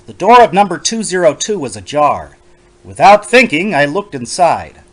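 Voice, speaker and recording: A man's voice: on the high side, a bit bright. A man playing a lonely, ailing bachelor professor who narrates an old horror story in a formal, precise, brooding way. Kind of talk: dramatic reading of a 1930s horror story.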